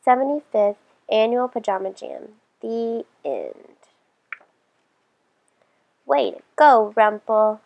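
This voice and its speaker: A woman reading a children's story aloud in an expressive storytelling style. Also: a little high, takes it slowly, gravelly, long pauses, highs cut off.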